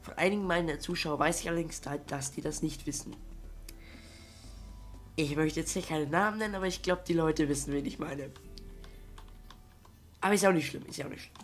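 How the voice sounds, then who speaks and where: A man's voice: a little high, brisk, long pauses, faint music behind. A young man with a cold, rambling in casual let's-play commentary with many fillers and restarts. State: has a cold. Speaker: gaming YouTuber.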